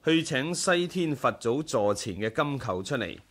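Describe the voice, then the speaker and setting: An actor with a Hong Kong Cantonese accent playing a dignified, devout Buddhist leader in a measured, formal 1980s radio drama style. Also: male voice, medium pitch, very animated, a bit bright. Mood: gracious, firm.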